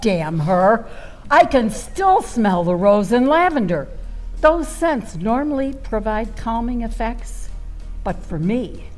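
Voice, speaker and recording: A woman acts a flirty, much-married lady telling a comic tale on a live stage. Her theatrical delivery is slow and drawn out, with wide swings of pitch. Faint music is heard in the recording. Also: sing-song, a little mellow. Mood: wry, indignant. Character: Violet Van Zandt, flirty much-married woman storyteller, dreamy and tipsy-witted.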